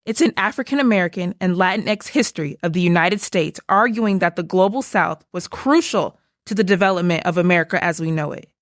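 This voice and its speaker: A woman's voice: lowish voice, brisk, few pauses, no room sound, studio quality, close to an everyday voice. A woman playing a proud, earnest history book on trial in a puppet show, speaking in a declamatory theatrical way. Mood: pleading, proud.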